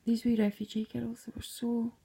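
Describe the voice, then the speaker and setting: A woman's voice: fairly high pitch, monotone, moderate pace, gravelly, dark tone, breathy edge. A Scottish woman casually retelling a dream, rambling, slipping into whispered asides and swearing freely.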